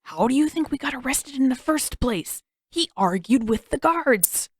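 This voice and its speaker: A woman's voice: high voice, animated, moderate pace, slightly rough, dry recording, studio quality, pitched above the performer's own voice. A woman voicing a sarcastic, worried teenage brother who grumbles and complains. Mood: grumbling. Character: young teen boy, sarcastic worried brother.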